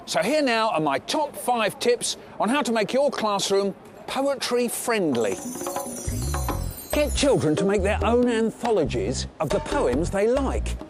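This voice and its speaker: A man presenting a teaching programme, speaking in a lively, expressive way. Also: high voice. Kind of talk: scripted presenting to camera.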